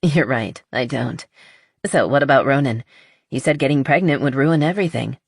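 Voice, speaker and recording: A woman voicing a blunt, honest, skeptical but loyal best friend in an audiobook, with a dry teasing manner and husky voice. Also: a bass voice, normal speed, no echo, full character voice.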